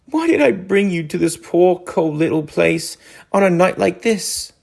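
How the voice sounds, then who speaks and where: A dismal little storybook mole, acted out by a man, pitched well up and full of moans. He sounds fretful and sorry for himself, groaning his way through each complaint. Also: lively intonation, measured pace, gravelly, a little mellow, full character voice. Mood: dismal regret. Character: gloomy, fretful, self-pitying male mole.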